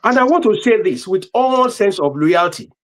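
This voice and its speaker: A Nigerian-accented man giving political commentary as a panelist, halting and repeating words as he goes. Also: high voice, animated.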